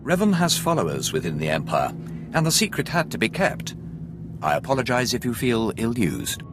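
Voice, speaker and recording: A guarded, courteous Imperial underling in a video game. His formal delivery moves through extremely wide pitch swings over music. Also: wildly swooping pitch, moderate pace. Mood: guarded, apologetic. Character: smoothly deferential Imperial subordinate, guarded and courteous.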